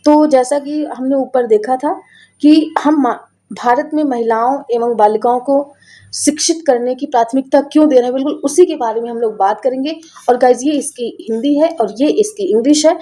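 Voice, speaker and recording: A woman with a North Indian accent lectures students online in a fairly high, very soft-toned, mellow voice. Her throat is sore, and she explains in a chatty way, often switching into English and repeating phrases. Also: moderate pace. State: sore throat, voice affected by illness. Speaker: teacher, online course presenter.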